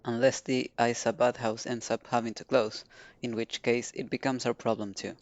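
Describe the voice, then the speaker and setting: A man's voice: medium pitch, level tone, moderate pace, highs cut off. A man streaming a game, giving casual playthrough commentary and reading dialogue aloud.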